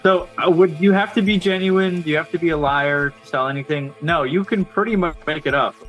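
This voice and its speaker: A man's voice: on the high side, a little fast, a bit bright, faint music behind, low-quality recording. A man guest on a podcast, explaining ideas step by step in casual talk with frequent fillers and asides. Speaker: podcast guest, marketing strategist. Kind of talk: casual advice-giving conversation.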